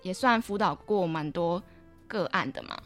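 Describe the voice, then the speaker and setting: A woman's voice: lowish voice, normal speed, fairly bright tone, soft background music. A woman with a Taiwanese Mandarin accent hosting a conversational podcast interview. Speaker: podcast host.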